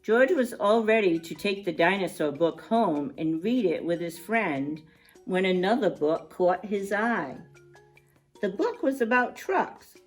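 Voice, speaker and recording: A woman reading a picture book aloud to young children in an expressive storytime style, drawing out words for emphasis and adding chatty asides. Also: medium pitch.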